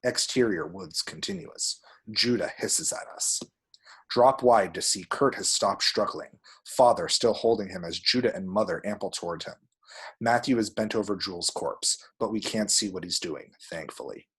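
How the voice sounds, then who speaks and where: Male narrator, low and husky, reading stage directions at a script table read. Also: normal speed, a bit bright. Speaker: reader of action descriptions at a table read. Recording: home recording.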